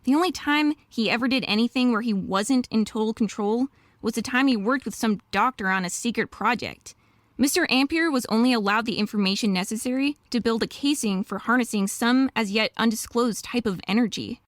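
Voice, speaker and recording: Casting: actress as professional lady wolf attorney, eager and earnest, higher pitch, dry close sound, radio mystery dialogue. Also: fairly quick, no room sound, close to an everyday voice. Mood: helpful. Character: earnest, admiring female wolf lawyer, professional.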